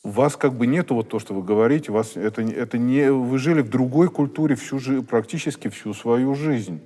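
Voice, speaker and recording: A man's voice: medium pitch, warm tone. Man asking questions in a reverberant church. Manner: halting, rephrasing mid-question. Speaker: journalist, interviewer. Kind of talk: interview questions. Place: large stone church.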